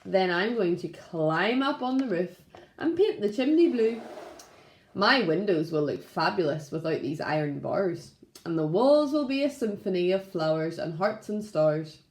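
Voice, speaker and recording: An eager, cheerful chimp from a picture book, read by a woman. The voice is excited and full of melody, with echo from the room. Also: on the low side, a little slow. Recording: roomy, echoing room sound.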